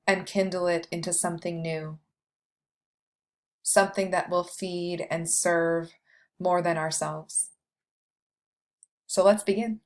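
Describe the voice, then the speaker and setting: A woman guiding a meditation with scripted, poetic narration, delivered in a measured way with long pauses. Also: fairly low pitch. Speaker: meditation guide.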